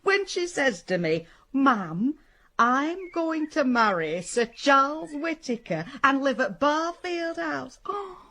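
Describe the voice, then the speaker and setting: A woman's voice: sits high, sing-song, slow pace, pushed and bright, exaggerated voice. An actress playing a doting, gullible older working-class mother, homely and chatty, in a radio drama. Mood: proud.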